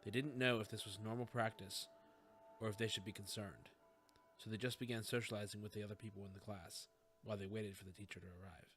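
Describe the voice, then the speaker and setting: A man's voice: fairly low pitch, fairly even intonation, quick pace, fairly bright tone, soft background music. A man reading scripted fiction as an audiobook narrator.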